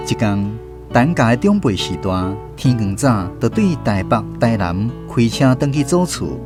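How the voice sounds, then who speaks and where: A man with a Taiwanese accent giving expressive, scripted storytelling narration for a radio drama. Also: medium pitch, normal speed. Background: plucked guitar music.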